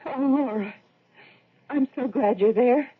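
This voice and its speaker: A woman playing a fretful housewife and mother barely holding herself together in a radio drama, anxious, halting and pleading. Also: on the high side, slight background noise. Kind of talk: old-time radio drama acting. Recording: tinny narrow-band old radio recording.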